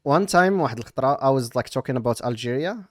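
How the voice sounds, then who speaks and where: A young man from eastern Morocco hosting a casual stream chat, relaxed and mixing English with Moroccan Arabic. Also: medium pitch, racing, dry recording, clean studio sound. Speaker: streamer and host.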